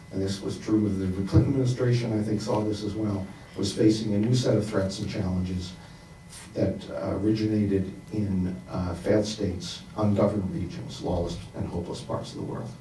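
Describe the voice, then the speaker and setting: A man on a panel answering in a reflective, halting way, full of ums and uhs. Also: on the low side, fairly even intonation, warm tone, a little hiss or hum. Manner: reflective, halting, many fillers. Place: conference hall. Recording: roomy, distant conference hall mic.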